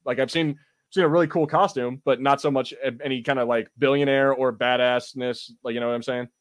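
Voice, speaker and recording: A man's voice: medium pitch, fairly even intonation, fairly quick. A man co-hosting a podcast, chatting casually about film and TV in a rambling way with frequent swearing. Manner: rambling, profane. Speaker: podcast co-host.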